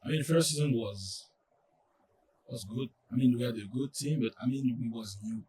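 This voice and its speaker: A young man from France with a French accent, speaking English as a second language in a casual interview, halting and full of fillers. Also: medium pitch, warm tone, distant mic, a little hiss or hum. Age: young adult. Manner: halting, many fillers and restarts. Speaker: college soccer player, guest.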